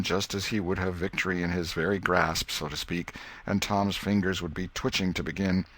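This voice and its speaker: A man's voice: sits low, a bit bright. A man reading literary fiction as an audiobook narrator in a scripted storytelling style.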